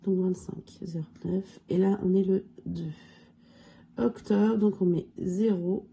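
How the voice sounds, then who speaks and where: A woman with a cold and a hoarse voice, casually going through her budget challenges and counting money aloud with lots of fillers. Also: medium pitch, fairly even intonation, slow, mellow. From France. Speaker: budget vlogger. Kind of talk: casual budget review talk.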